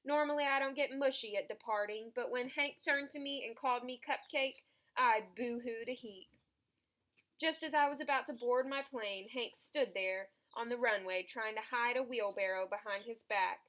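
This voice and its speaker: Bright, higher-pitched woman reading a storybook. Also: normal speed. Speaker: storybook reader. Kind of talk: expressive children's picture book read-aloud.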